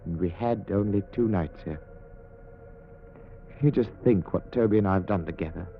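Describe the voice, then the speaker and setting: An actor with a British accent playing an obsessive, possessive ventriloquist in an overwrought, theatrical 1940s radio style. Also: male voice, low-pitched, normal speed, faint music behind. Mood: obsessive, aggrieved. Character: unhinged, possessive male ventriloquist obsessed with his dummy. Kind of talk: 1940s old-time radio acting. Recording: muffled, noisy vintage radio recording.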